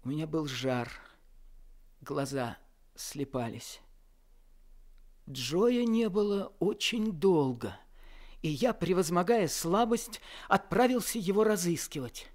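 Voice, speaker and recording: A man playing a feverish, exhausted young man who narrates his story in a radio play, earnest and quiet. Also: a little high, lively intonation, takes it slowly, pauses often. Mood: weary. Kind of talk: radio drama narration and dialogue.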